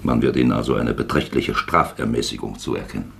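An actor in a German radio drama playing a shrewd, paternal investigating official who speaks deliberately and persuasively. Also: male voice, extremely low pitch, gravelly, a bit bright, slight background noise, full character voice. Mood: coolly persuasive. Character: shrewd, self-admittedly plump male investigating official, paternally probing.

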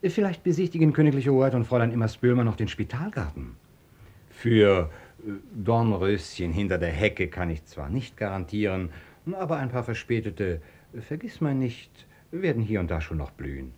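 An actor playing a blunt, busy hospital doctor in a radio drama, dry and ironic but gentle with children. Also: male voice, on the low side, very animated, moderate pace, husky. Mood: politely brisk. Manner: dry, clipped, formally ironic. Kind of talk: German radio drama acting.

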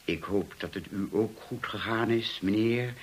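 An actor playing an elderly, dignified butler in a radio play, formal and deferential. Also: male voice, lowish voice, measured pace, slight background noise, close to an everyday voice. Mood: courteous. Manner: formal, servile.

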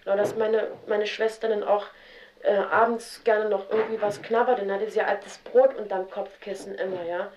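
A woman's voice: medium pitch, steady tone, a crowd in the background. Young woman recalling her childhood, roomy tape. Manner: halting, with filler words and restarts. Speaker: interviewee. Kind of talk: spontaneous personal account. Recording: roomy, dull 1970s radio tape.

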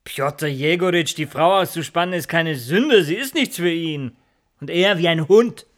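A man's voice: fairly high pitch, animated, measured pace, fairly bright tone. An actor playing a dissolute, boastful count and womanizer in a radio play, with an affected, effusive manner. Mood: lecherous, playful. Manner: affected, effusive, self-indulgent. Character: dissolute, flippant Russian count, boastful womanizer, theatrically indignant. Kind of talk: radio drama acting.

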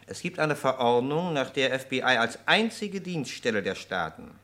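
Officious FBI agent, mid-pitched, curt, formal, condescending, vintage radio crime drama. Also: male voice, sing-song, normal speed, pushed and bright. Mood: smugly insistent.

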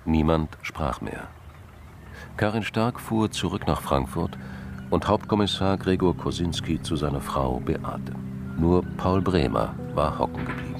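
An actor playing the sober, deliberate narrator of a crime radio play, with a gravelly voice. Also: male voice, lively intonation, faint music behind, heavily acted. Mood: sober, suspenseful. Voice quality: very deep, gravelly. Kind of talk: scripted radio drama narration.

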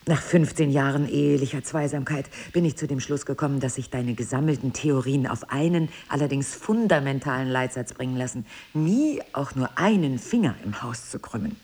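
Low-voiced, dryly teasing wife. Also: female voice, normal speed, hardly any pauses, a little mellow, slightly rough, full character voice. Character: patient, teasing wife of an amnesiac man. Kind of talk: German radio play acting.

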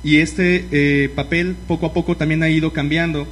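A man, a lawyer, giving a measured explanation at a community event. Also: a little high, little pitch movement, slightly rough. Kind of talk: explanatory talk.

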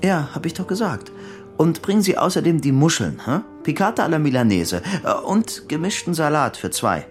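Deluded, animated man, music behind. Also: on the high side, moderate pace. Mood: insistent. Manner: murmured questioning tags, shifting from tender to curt. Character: deluded lonely man chatting to an imagined sweetheart. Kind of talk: radio play acting.

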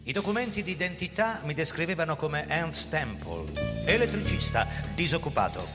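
A man's voice: on the high side, sing-song, normal speed. An actor playing a tense, brooding fugitive who recounts his flight in a theatrical radio drama style. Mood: urgent, narrating. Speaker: lead actor and narrator. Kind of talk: dramatic first-person radio drama narration. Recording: reverberant hall, music over the voice. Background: prominent musical instruments.

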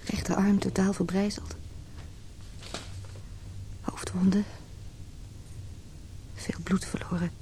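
A lovelorn businesswoman played by an actress in a radio drama, with a medium-pitched female voice. She is anxious and emotional, a mistress who confides her thoughts as much as she speaks them. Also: little pitch movement, slow, long pauses, mellow, in a whisper, natural voice. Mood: frightened, hushed. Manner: emotional, inner monologue and tense dialogue. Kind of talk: Dutch radio play acting.

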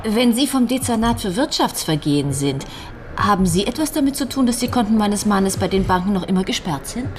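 An actress playing an anxious, insistent widow who feels threatened, in a German radio crime drama. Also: female voice, medium pitch, expressive, a little fast, a little hiss or hum, natural voice. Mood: shaken, formal.

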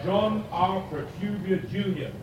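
A pompous male university official declaims in a high voice. The sound is heavily echoing and noisy, from a distance, in radio-play style. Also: unhurried, lo-fi sound. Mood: grave, declamatory. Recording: very echoey, distant, noisy public-address sound.

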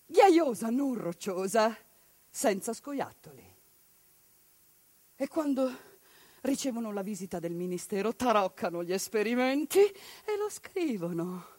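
Husky-voiced woman telling a story with passion. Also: fairly high pitch, darkish tone, no room sound. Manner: impassioned, ironic storytelling with sudden outbursts. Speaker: stage actress and storyteller. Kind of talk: theatrical monologue on radio.

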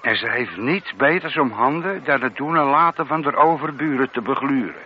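A man's voice: mid-range voice, moderate pace, lightly acted. Actor playing male police sergeant, radio play, deferential, mild, politely contradicting, matter-of-fact, lo-fi with faint noise. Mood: matter-of-fact, reporting. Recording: muffled, noisy lo-fi radio drama recording.

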